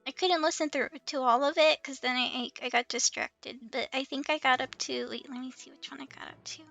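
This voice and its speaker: A woman with a high, bright voice hosts a casual game stream. There is noticeable fry in her playful, giggly delivery. She sounds close and dry as she chats and reads out game text. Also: fairly even intonation, a little fast, no room sound, soft background music. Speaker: livestream host playing an online game. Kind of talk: casual chat with game commentary and dialogue read aloud.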